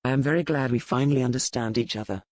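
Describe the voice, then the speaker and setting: Guy doing a menacing pirate captain for an audiobook, formal and deliberate, very dry close sound. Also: medium pitch, no echo, close to an everyday voice. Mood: cold, taunting. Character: menacing, commanding male pirate captain, coldly courteous. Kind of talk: dramatized romance audiobook dialogue.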